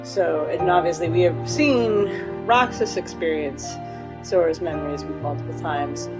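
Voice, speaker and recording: A woman's voice: fairly low pitch, measured pace. A woman streaming a game, reading aloud and commenting casually, thinking aloud and checking herself with questions. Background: game music.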